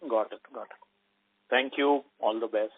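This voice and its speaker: A man's voice: medium pitch, fairly even intonation, normal speed, dry recording. Male analyst on tinny phone line. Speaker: financial analyst asking questions. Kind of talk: conference call questioning. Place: conference call. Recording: dry, narrowband phone line.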